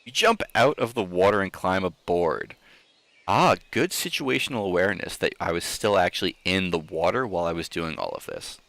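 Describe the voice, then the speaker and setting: A man reading a story aloud in a theatrical, expressive manner, with casual asides, like a streamer hosting for an audience. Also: low-pitched, moderate pace, creaky, no room sound.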